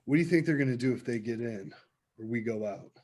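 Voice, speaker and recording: A man's voice: mid-range voice, expressive, quick pace, a little mellow, slight background noise, natural voice. A man playing a blunt, fatalistic, cynical character in a horror script reading.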